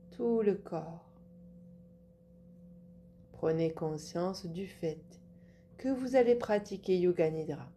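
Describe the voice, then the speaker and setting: Slow, soft-toned woman guiding relaxation. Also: lowish voice, a little hiss or hum. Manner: calm, measured instruction. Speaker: yoga nidra instructor. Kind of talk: guided relaxation meditation.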